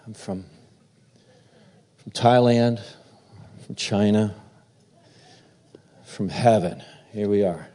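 Male pastor, slow and warm-toned, halting delivery with fillers; preaching and reading scripture. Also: lowish voice. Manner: halting, filler-heavy, then steady reading.

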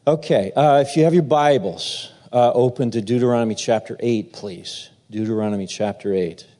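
A man preaching as pastor, halting with many fillers as he talks, then reading scripture aloud. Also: medium pitch, dark tone.

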